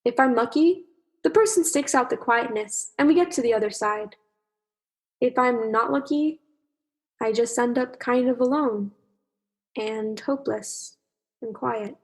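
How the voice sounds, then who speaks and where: A woman's voice: fairly high pitch, measured pace, long pauses. A young woman playing a shy, anxious, lovelorn fish in a radio play, talking in a rambling, self-conscious way. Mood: self-conscious, wistful. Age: young adult.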